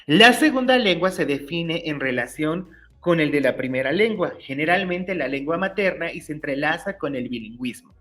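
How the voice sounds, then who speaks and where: A man, hosting his own channel and clearly explaining teacher-exam study material in an upbeat, explanatory way. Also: a little high, expressive, normal speed, a bit bright, soft background music. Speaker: YouTube channel host, teacher-exam tutor. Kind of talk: educational explainer reading curriculum text.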